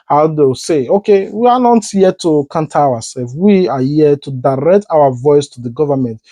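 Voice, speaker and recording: A man's voice: fairly high pitch, lively intonation, normal speed, dark tone, dry recording. A man with a Nigerian accent presenting online news commentary, talking conversationally and correcting himself as he goes. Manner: conversational, repeats words, self-corrects. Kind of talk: commentary to camera.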